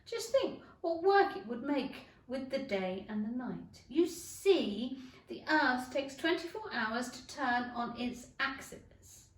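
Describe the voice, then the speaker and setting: A woman's voice: sits high, big pitch swings, takes it slowly, heavily acted. A woman voicing an earnest, anxious, slightly prim little girl in a storybook. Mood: showing off. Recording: echoey room, distant microphone.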